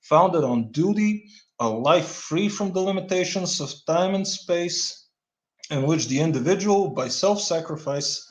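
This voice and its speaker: A Bulgarian man reading a dense political text aloud, stumbling over words and adding deadpan asides. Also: a little high, a little slow, roomy sound. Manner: stumbling, restarts words, deadpan wry comments. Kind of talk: halting read-aloud with dry asides.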